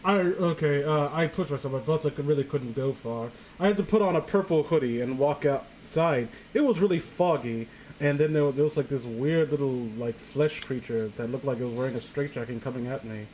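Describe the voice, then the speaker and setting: A man's voice: mid-range voice, fairly quick, a little mellow, low-quality recording. A man chatting casually and jokingly as a co-host, rambling and swearing as he goes. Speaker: co-host of a comedy story-reading show. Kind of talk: casual joking chat and mock reading. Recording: faint background noise, home recording.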